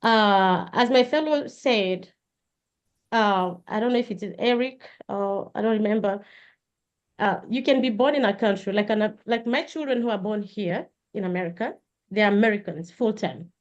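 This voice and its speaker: A middle-aged woman from Congo speaking as a panelist, earnest and halting, with many pauses and fillers. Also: mid-range voice, dull audio. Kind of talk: panel discussion on a call.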